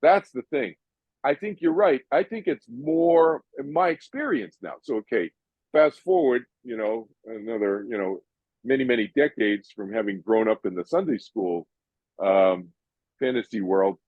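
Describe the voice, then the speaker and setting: An older man from Massachusetts hosting an audience Q&A over a video call, chatty and animated, often restarting his sentences. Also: medium pitch, normal speed, husky, dry recording, phone-quality sound. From Massachusetts, USA. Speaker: host answering audience questions.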